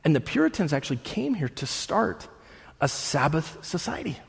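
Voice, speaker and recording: A man in his thirties, a pastor preaching a sermon to a room, conversational with asides, swinging from loud bursts to hushed lines. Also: on the high side, very animated, normal speed, gravelly, slightly rough. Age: 30s. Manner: conversational with frequent restarts and asides. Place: church hall with an audience. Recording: long reverb of a large hall.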